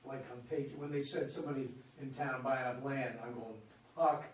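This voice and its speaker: A man's voice: medium pitch, fairly even intonation, brisk. A man chatting casually in a group discussion after a play reading. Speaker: play reader giving feedback. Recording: very echoey room, distant mic, faint noise.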